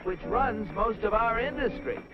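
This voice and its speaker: A man voicing an eager, boastful, fawning Martian space explorer who makes a grandiose speech in a 1950s animated cartoon. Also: sits high, moderate pace, music in the background. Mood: proud, fawning. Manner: earnest, grandiose, speech-making with flustered hesitations. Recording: muffled 1950s film soundtrack.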